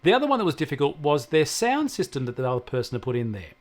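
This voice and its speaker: A man's voice: fairly high pitch, big pitch swings, fast talker. A man explaining things conversationally as a technology co-host, telling anecdotes from his own work. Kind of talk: conversational tech discussion.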